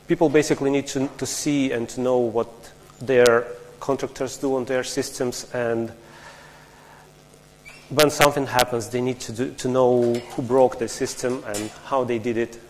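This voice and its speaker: A man from Russia, giving a technical conference talk in a large lecture hall, explaining step by step with frequent restarts and asking the audience questions. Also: medium pitch. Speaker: conference speaker.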